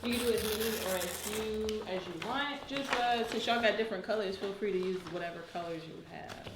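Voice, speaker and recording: A woman's voice: mid-range voice, brisk. A woman giving patient step-by-step craft instructions. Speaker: paint and sip instructor. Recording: echoey room with loud background noise.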